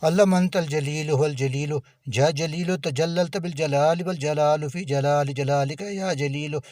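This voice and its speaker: A man chants a devotional supplication in a rapid, rolling rhythm, repeating each divine name in quick succession. His voice sits a little high for a man. The recording is dry and close, with no room sound around it. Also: steady tone, a little mellow, dry recording.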